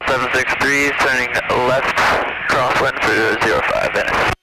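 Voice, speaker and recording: A man's voice: mid-range voice, steady tone, unhurried, very noisy. A man making clipped pilot position calls over an aircraft radio. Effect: aircraft radio transmission.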